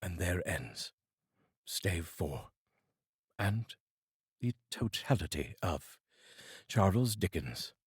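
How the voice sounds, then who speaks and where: A man from the United Kingdom giving a theatrical, expressive reading of a classic story as narrator. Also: sits low, takes it slowly, long pauses, husky, dry recording, studio quality. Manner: theatrical and expressive, savouring phrases. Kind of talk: dramatic audiobook reading.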